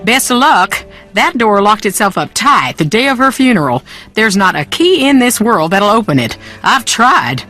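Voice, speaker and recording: A weary, guarded woman keeping secrets in a mystery video game. Her medium-pitched voice moves with lots of inflection, folksy and evasive, over faint music. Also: sing-song, moderate pace, pushed and bright. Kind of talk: video game dialogue acting.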